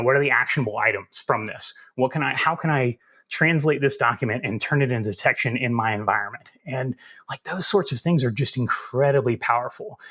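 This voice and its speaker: A man with a Southern US accent, answering interview questions in a relaxed, folksy and self-deprecating way. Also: mid-range voice. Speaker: security instructor as guest.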